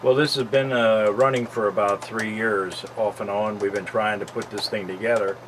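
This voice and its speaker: A man from Lebanon County, Pennsylvania, a model train collector, reminiscing casually in his basement in a relaxed, rambling way with lots of fillers. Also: fairly low pitch, moderate pace. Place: home basement with train layout. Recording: faint background noise.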